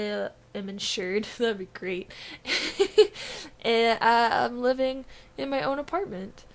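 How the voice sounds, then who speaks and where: Giggly young woman, fairly high voice. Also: fairly bright tone. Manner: giggly, swears freely, trails off hunting for words. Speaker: podcast co-host. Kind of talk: casual podcast chat.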